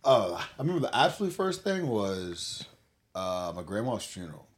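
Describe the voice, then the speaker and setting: A young man talking casually with his buddies, his pitch swinging lively as he jokes. His speech is loose and slangy, broken up by laughs. Some noise sits under the voice. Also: normal speed. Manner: laid-back, slangy, laughs often. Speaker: friend in group chat. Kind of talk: casual chat.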